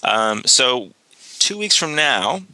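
A man's voice: medium pitch, very animated, slow pace, bright, forceful tone, rough recording. A man hosting a podcast, giving casual announcements with lots of fillers and restarts, a bit under the weather with a cold. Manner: rambling, many fillers and restarts. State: slight cold. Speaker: podcast host.

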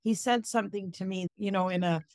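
A woman's voice: medium pitch, steady tone, a little fast, a little mellow, no room sound, studio quality. A woman co-host recalling an anecdote in a reflective, conversational way. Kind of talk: conversational recollection.

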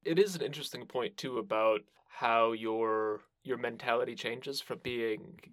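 A man's voice: mid-range voice, fairly even intonation, unhurried, a bit bright, dry recording. A man hosting a podcast interview, speaking casually and reflectively.

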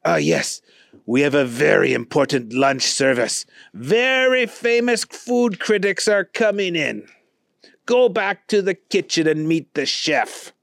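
Pompous older French maître, slow and formal, as the narrator puts him on. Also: medium pitch, sing-song, creaky, dry recording, studio quality, voice pushed up. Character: skinny, very tall older French restaurant manager.

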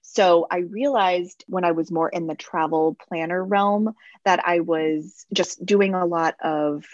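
A woman in her thirties with a lowish voice, talking as a guest in a casual interview. She speaks in measured, reflective phrases and laughs easily. Her audio sounds slightly dull and dry, like a remote call. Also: fairly even intonation, dry recording. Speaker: guest, travel business owner.

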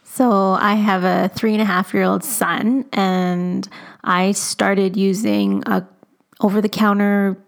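A woman's voice: mid-range voice, fairly even intonation, slow pace, gravelly. A woman in her thirties, a dental hygienist guest, sharing her own routine conversationally. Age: 30s. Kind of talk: casual chat.

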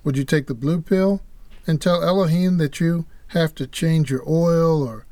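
A man's voice: a little high, a little slow, mellow, no echo. A man co-hosting a religious podcast, reading an earnest, preacherly script. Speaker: podcast co-host.

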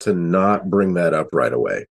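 A man's voice: sits low, expressive, normal speed, creaky. A man in casual conversation as a podcast panelist, putting questions to the others. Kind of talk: casual chat.

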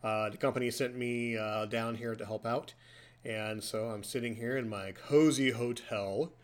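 A man's voice: mid-range voice, steady tone, normal speed. A man in his forties hosts a casual podcast, chatting and ribbing his co-host in a mid-range voice. He talks from a hotel room in an easygoing, joking way. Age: 40s.

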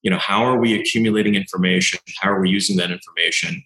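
Doctor guy, deep voice, chatty and animated, lots of "you know", roomy echo. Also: fast. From Canada. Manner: animated storyteller, acts out quoted exchanges, many "you know" fillers. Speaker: doctor and company founder. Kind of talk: conversational interview answers.

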